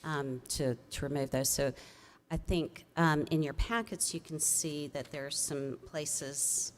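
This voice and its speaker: A woman speaking as a staff member at a public meeting, explaining in a measured, slightly hesitant way. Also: deep voice. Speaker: city staff member presenting to commission.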